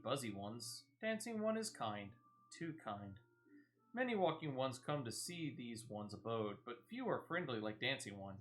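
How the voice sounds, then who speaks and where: A gamer voicing a timid, wary creature of the wilds from a fantasy game, speaking simply and haltingly in the third person. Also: medium pitch, a bit bright. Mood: disgusted, then grateful, wary. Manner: halting third-person speech, repeating words. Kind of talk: reading game dialogue in character.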